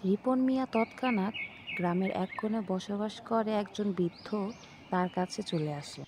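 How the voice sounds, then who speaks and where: A woman storyteller narrates a scripted folk tale at a middling pitch. Birdsong and outdoor ambience sit clearly behind her voice. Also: moderate pace, noisy background.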